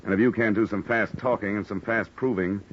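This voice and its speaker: An American actor playing a cynical, wisecracking hard-boiled insurance investigator in an old radio noir drama, with sardonic, clipped slang. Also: male voice, on the low side, fairly even intonation, brisk, fairly bright tone, lightly acted. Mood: hard, skeptical. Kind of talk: 1950s radio noir acting and narration. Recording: muffled, noisy old radio recording.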